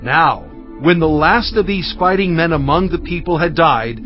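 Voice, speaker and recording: A man playing Moses as an aging leader, recounting the journey in a measured, steady storytelling voice. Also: fairly high pitch, natural voice. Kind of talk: dramatized scripture reading. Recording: dull lo-fi audio with faint music.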